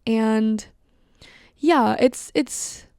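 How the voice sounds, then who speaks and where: A young woman talks candidly into a close mic, reflective and unhurried, circling back with restarts and fillers. Her mid-pitched voice carries a lot of vocal fry. The sound is dry and close, with no room around it. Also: darkish tone, no echo. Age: young adult. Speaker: podcast host. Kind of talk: casual solo podcast monologue.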